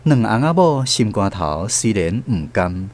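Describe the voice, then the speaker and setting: Animated male Taiwanese storyteller. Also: lowish voice, measured pace. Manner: folksy, expressive. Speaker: narrator. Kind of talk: literary storytelling narration in a radio drama. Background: instrumental music.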